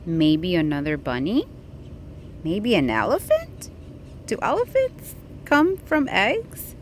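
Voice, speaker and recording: A woman reading a picture book aloud to a child in an expressive, engaging way, with questions for the listener. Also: mid-range voice, takes it slowly, a little hiss or hum.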